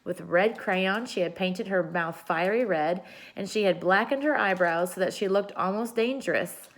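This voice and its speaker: Woman, storybook reader, read-aloud narration, expressive delivery, medium pitch. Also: normal speed.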